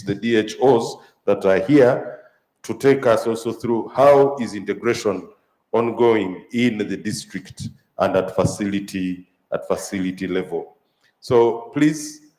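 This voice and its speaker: A man moderating a health conference session, speaking haltingly with many filler pauses. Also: on the low side, a little mellow, roomy sound, far from the mic. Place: conference hall.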